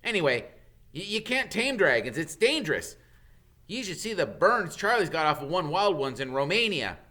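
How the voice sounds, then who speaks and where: A man puts on an excitable young schoolboy from a storybook. The voice is raised high and leaps about, bright and pushy. He sounds like an insistent know-it-all. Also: normal speed.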